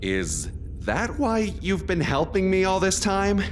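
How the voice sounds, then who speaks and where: A woman's voice: medium pitch, sing-song, slow pace, slight background noise. A woman playing a sarcastic, incredulous young opera soprano in an audio comedy sketch. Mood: hurt, incredulous.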